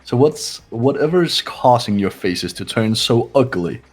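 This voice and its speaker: A man's voice: mid-range voice, expressive, faint music behind. A man voicing a haughty, contemptuous rich young man in an amateur playthrough of a visual novel game. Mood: cold contempt. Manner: disdainful, aloof, deliberate.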